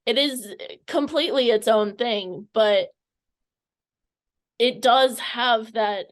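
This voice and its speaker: A woman's voice: on the high side, slow pace. Tentative woman interviewer, casual and rambling. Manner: tentative, rambling, stumbles over words.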